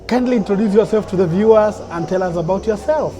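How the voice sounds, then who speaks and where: High-voiced man hosting an interview. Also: fast talker, music in the background. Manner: formal, enthusiastic introductions with fillers. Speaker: host, presenter.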